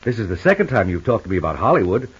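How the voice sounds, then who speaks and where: An actor playing a protective, stubbornly loving husband in a 1950s radio soap, affectionate, offhand and firm. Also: male voice, fairly low pitch, big pitch swings, racing, slightly rough, full character voice. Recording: dull, band-limited old radio recording.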